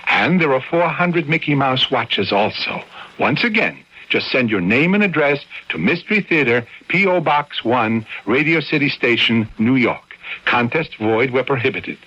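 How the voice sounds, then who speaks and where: Bright, lively male radio announcer. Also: medium pitch, normal speed. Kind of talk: scripted promotional announcement. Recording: dull old radio recording.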